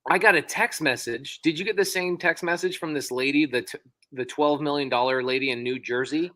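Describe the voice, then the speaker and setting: A man hosting a casual interview over a video call, talking with eager, excitable enthusiasm on a close studio microphone. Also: fairly high pitch, a little fast, dry recording. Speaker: host.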